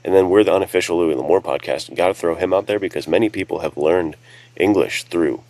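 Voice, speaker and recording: A man's voice: deep voice, fast talker, darkish tone, rough recording. A man co-hosting a podcast, speaking in a casual, conversational way. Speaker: podcast co-host and writer.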